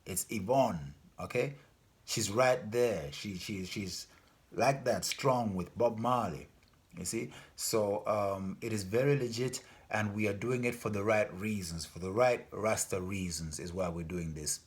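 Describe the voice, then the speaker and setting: A man who grew up in Denmark, speaking earnestly as a festival organizer in an informal appeal, repeating himself and pausing with fillers. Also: on the low side. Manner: earnest, repetitive, loses his thread with fillers.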